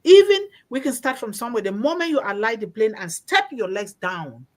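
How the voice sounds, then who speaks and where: A woman's voice: a little high, lively intonation, brisk, a little mellow. A woman from Africa hosting a discussion, speaking in an impassioned, informal way and repeating phrases for emphasis. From born and raised in Africa. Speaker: host of a discussion. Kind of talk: impassioned informal storytelling and exhortation.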